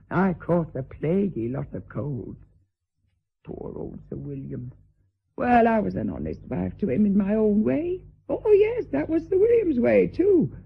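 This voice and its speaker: A woman's voice: medium pitch, gravelly, slightly rough, full character voice. An actress playing a middle-aged, vulgar, hard-drinking lady mistress in a radio drama, declamatory, vain and fearful, lurching between coarse bluntness and maudlin grandeur. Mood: wry, boozy. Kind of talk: theatrical radio drama acting. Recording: thin, phone-like band-limited radio drama audio.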